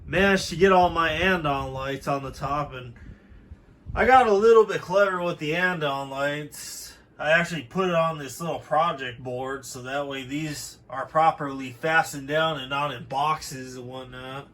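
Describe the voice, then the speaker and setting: A man with a fairly high-pitched voice gives a casual, informal walk-through in home-video style. He chats as a hobbyist showing off his setup. The sound is that of a handheld camera mic indoors. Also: moderate pace, fairly bright tone. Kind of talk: casual handheld show-and-tell narration.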